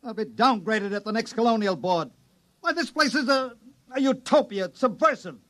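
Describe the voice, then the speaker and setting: Shrill, pompous imperial inspector. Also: male voice, moderate pace, fairly bright tone. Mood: indignant. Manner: officious, blustering. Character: pompous, excitable, disdainful imperial inspector from Earth. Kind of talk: old-time radio drama acting.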